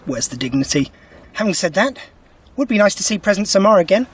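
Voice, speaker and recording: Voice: mid-range voice, very animated, quick pace, slightly rough, distant mic. An actor playing a quirky, wry time-travelling alien adventurer in an audio drama, chatty and given to grumbling.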